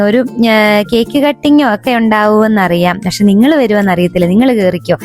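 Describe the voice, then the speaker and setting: A woman's voice: mid-range voice, darkish tone. A woman with a Kerala Malayali accent giving a chatty, casual vlog narration in a conversational way. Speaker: family vlogger. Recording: background music under voice. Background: music.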